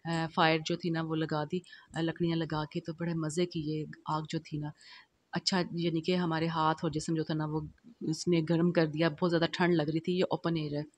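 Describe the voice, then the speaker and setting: A woman's voice: low-pitched, flat delivery, normal speed, no room sound. A middle-aged woman from Pakistan narrating her shopping vlog as a voice-over, in a chatty, homely way with many fillers. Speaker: vlogger.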